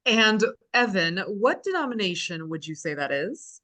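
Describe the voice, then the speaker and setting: A woman playing a chipper, sardonic reality show host in a scripted table read, presenting brightly and slipping into sarcastic asides. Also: medium pitch, very animated, normal speed. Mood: curious, brisk.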